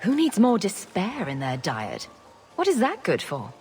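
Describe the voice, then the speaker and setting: A woman's voice: medium pitch, wildly swooping pitch, a little slow, darkish tone, faint music behind. An actress playing a confident female adventurer in a video game, with a dry, teasing, sardonic manner. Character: confident, dryly witty female champion adventurer.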